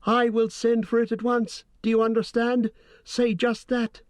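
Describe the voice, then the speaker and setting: A man voicing a frightened young male hobbit who stammers and pleads in a high, shrill voice. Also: completely flat delivery, measured pace, no room sound, clean studio sound. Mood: shrill, possessed.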